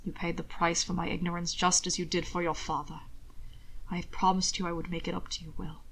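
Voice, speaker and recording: A woman voicing a hushed, tender formal man. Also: fairly low pitch, little pitch movement, slightly rough, voice pushed down, rough recording. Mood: devoted, hushed. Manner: soft, hushed, measured formal speech. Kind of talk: audiobook reading.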